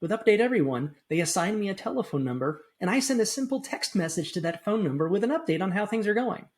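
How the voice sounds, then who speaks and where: A woman's voice: medium pitch, animated, fast, darkish tone. A woman reading a scripted first-person sponsor message for a podcast.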